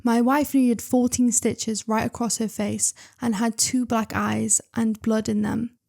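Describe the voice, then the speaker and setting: Young woman story reader with a slightly high voice, chatty and excitable, full of asides. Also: a little slow, creaky. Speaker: reader and channel host. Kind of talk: reading online stories aloud with commentary.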